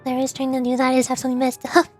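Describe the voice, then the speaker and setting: High-voiced woman chatting casually. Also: monotone. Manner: chatty, halting with fillers and restarts. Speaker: streamer. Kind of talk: casual chat. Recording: faint music behind.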